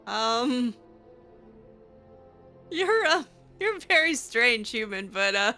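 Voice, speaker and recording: A woman's voice: fairly high pitch, sing-song, takes it slowly, sharp tone, soft background music, full character voice. A woman voicing a cheeky, skeptical female mage in casual, teasing improvised roleplay of a fantasy game. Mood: amused, teasing.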